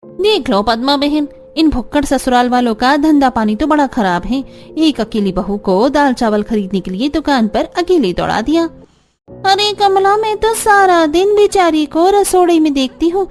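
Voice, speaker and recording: A woman plays a tut-tutting neighbour in a cartoon tale. The voice is pushed high, gossipy and disapproving, with music behind it. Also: lively intonation, unhurried, a little mellow.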